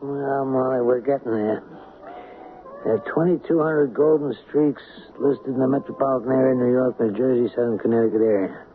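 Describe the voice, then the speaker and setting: An actor playing a patient, dogged police detective in a radio mystery drama. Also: male voice, mid-range voice, fairly quick, natural voice. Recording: narrow-band old radio, faint noise.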